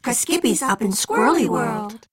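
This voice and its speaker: A woman's voice: very animated, normal speed, husky, dry recording, studio quality, full character voice. A woman voicing gushing little girl kitten sisters in a storybook. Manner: squeaky, gushing, giggly.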